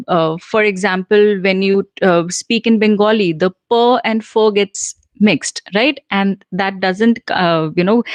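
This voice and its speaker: A middle-aged Indian woman hosts a live stream and answers viewers' questions one after another, in a chatty way with plenty of ums and soft laughs. Her voice sits at a middle pitch. It is recorded close and dry, with no room sound. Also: dry recording. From central India. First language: Punjabi. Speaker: host and soft skills trainer.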